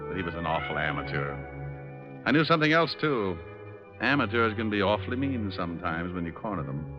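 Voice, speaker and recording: Low-voiced wisecracking radio private eye. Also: male voice, big pitch swings, brisk. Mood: hardboiled narrating. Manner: wry, flippant, teasing. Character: wisecracking, unflappable tough male private detective. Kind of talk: 1940s-50s radio detective acting and narration. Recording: muffled old radio recording, music behind.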